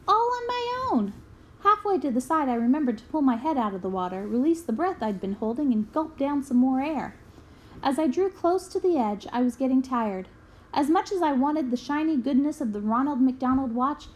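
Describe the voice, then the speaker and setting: A woman with a voice on the high side reads a story aloud. Her delivery is expressive storytelling, made for young listeners following a book. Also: moderate pace. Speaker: book reader. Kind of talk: expressive read-aloud of a children's memoir.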